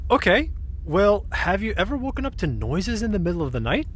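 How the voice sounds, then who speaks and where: A woman's voice: medium pitch, very animated, brisk, slightly rough. A woman playing an easygoing, slightly sheepish young woman in an audio drama, explaining spirit lore in a matter-of-fact, teasing way.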